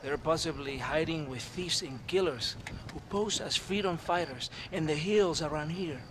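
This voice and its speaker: A sly, showy Mexican army captain, played by a man in a game cutscene, speaking with a Mexican Spanish accent in a raised, fairly high voice. He slides from mocking to hushed and sorrowful. Outdoor noise sits behind him. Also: normal speed, lightly acted. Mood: grave. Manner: mood swings from mocking laughter to hushed, mournful confiding. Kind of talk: video game cutscene acting. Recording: noisy outdoor game soundscape.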